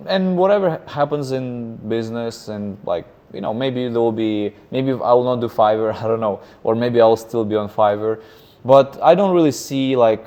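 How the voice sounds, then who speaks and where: A man in his thirties, a guest in an interview, speaking casually and haltingly with many fillers and restarts. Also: medium pitch, mellow. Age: 30s. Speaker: guest, freelancer.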